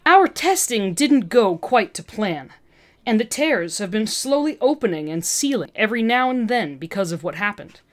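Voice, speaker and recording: A woman's voice: medium pitch, very animated, normal speed, lightly acted. A woman voicing an arrogant, agitated woman scientist villain with an intense, condescending manner in a fan fiction reading. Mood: impatient.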